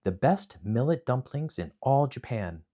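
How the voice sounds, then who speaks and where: A man's voice: mid-range voice, very animated, measured pace, dark tone, voice pushed up. A man voicing a brave young hero boy in a storybook, answering plainly and firmly. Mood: steady. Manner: plain, firm replies.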